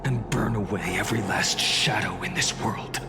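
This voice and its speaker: A man's voice: fairly low pitch, fairly even intonation, normal speed, creaky, music in the background. A man playing an earnest, brooding, determined young hero in a dramatic game scene. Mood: resolute.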